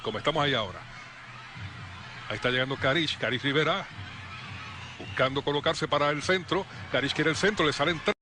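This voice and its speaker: A man calling play-by-play for a youth football match, brisk and lively on attacks. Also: a little high, slight background noise. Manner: brisk, rising excitement on attacks. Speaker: play-by-play commentator.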